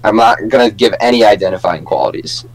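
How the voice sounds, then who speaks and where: A man's voice: fairly low pitch, quick pace, low-quality recording. A young man co-hosting a podcast, chatting casually and telling stories among friends. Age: young adult. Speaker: podcast co-host.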